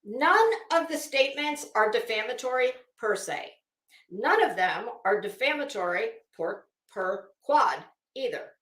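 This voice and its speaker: Theatrical American woman with sweeping pitch. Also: animated, a bit bright, echoey room, rough recording. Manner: theatrical, emphatic delivery with deliberate drawn-out phrasing and asides. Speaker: commentary show host. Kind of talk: dramatic reading of legal documents with casual commentary.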